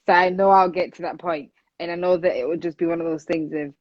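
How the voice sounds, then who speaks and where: Loud, earnest young woman, dull dry sound. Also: fairly low pitch, steady tone, quick pace, no room sound. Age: 20s. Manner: loud, earnest, talks in long runs. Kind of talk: casual conversation.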